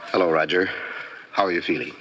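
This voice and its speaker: A low, firm male voice plays a sober, reassuring doctor in vintage radio drama. He is measured and earnest. The recording is dull, with slight noise. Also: fairly even intonation, fairly bright tone. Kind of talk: 1940s radio drama acting.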